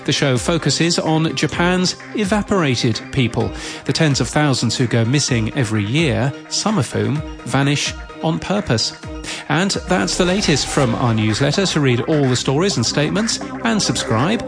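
A man's voice: fairly high pitch, lively intonation, normal speed, gravelly. A man hosting a podcast news bulletin, reading from a script. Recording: music bed behind voice. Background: music.

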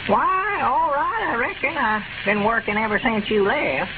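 High-pitched addled old Southern country fellow. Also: male voice, a little slow. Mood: puzzled, agreeable. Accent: rural Southern US. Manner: folksy, hesitant, dithering. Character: addled, literal-minded older rustic storekeeper, rambling, agreeable. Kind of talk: 1930s radio comedy acting. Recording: scratchy, tinny 1930s radio broadcast recording.

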